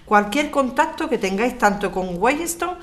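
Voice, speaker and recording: Una actriz con acento castellano que interpreta a una abogada serena y comprometida, con habla pausada y didáctica, en una ficción sonora. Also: female voice, fairly high pitch, lively intonation, a bit bright. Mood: firm, explaining. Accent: Castilian Spanish. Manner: measured, explanatory, articulate. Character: Alicia, composed, earnest lawyer rallying her neighbours. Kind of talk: scripted audio drama.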